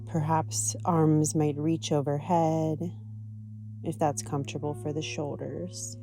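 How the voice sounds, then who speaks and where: A woman teaching yoga, guiding a practice in a gentle, soothing, unhurried manner. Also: low voice, level tone, music in the background. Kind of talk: guided yoga and meditation instruction.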